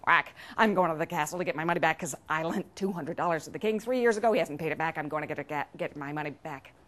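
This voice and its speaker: A woman's voice: deep voice, fast, fairly bright tone, voice pushed down. A proud, kindly duck from a storybook, acted out by a woman storyteller. The duck quacks before speaking, then mumbles and dithers through each thought. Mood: earnest.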